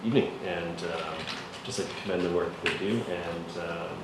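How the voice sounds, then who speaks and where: A man councillor giving a halting report at a meeting, full of ums and restarts. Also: low-pitched, fairly even intonation. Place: council chamber. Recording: echoey council chamber, far mic, noisy.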